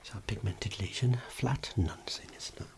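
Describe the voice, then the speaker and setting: Low soft whisper, breathy, ASMR doctor roleplay, gentle, reassuring, clinical. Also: normal speed. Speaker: ASMR performer roleplaying a dermatologist. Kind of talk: ASMR medical examination roleplay.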